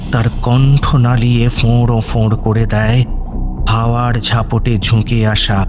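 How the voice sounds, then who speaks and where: A man narrating a horror audio story in a suspenseful, theatrical style with deliberate pauses. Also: mid-range voice. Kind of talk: dramatic scripted horror narration. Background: background music.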